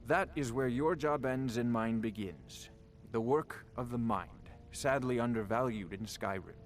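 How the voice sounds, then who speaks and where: An actor playing a pompous, scholarly court wizard in a fantasy video game, with a haughty, learned, wordy manner. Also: male voice, medium pitch, natural voice. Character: pompous, scholarly court wizard, eager about ancient lore. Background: music.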